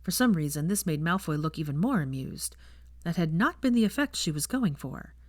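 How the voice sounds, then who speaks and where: A woman narrates fiction in a slightly low voice. Her delivery has lively, varied pitch, and the sound is dry and close. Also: brisk, dry recording. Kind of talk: scripted story narration.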